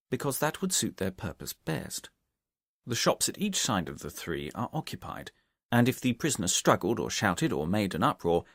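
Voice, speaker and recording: A man voicing a confident, methodical male detective in an audiobook story, reasoning aloud and giving brisk orders. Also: on the low side, creaky, no echo, studio quality, heavily acted. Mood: calmly reasoning. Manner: reasoning aloud, deliberate, commanding. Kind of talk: audiobook character dialogue from a Victorian detective story.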